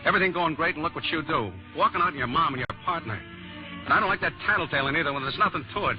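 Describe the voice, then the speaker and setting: An actor playing a down-and-out vaudeville father, proud and bluffing, gruff but fond, with slangy, stammering bluster in an old radio drama. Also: a little high, rapid-fire, lightly acted. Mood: gruff, agitated. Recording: dull old radio broadcast, music behind.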